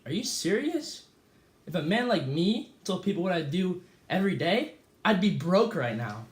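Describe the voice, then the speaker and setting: A man's voice: high-pitched, very animated, moderate pace. A young man playing a cheerful famous escape artist being interviewed, talking casually with fillers and small slips. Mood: easygoing. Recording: echoey room.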